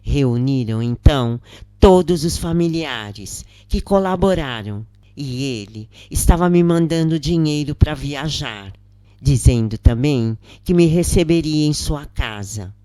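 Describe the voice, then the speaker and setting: A narrator with a Brazilian accent, reading a first-person story aloud in a measured, deliberate way with pauses between phrases. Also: medium pitch, lively intonation, dark tone, dry recording. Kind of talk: scripted narration, audiobook-style reading of a first-person spiritist account.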